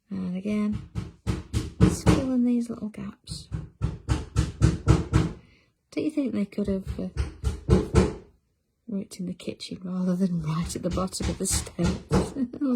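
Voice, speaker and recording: Woman, middle-aged, craft livestream host, casual chatty talk, gravelly voice, mid pitch. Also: heavy background noise. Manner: chatty, reads out viewer comments while working. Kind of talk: casual chat while drawing on a livestream.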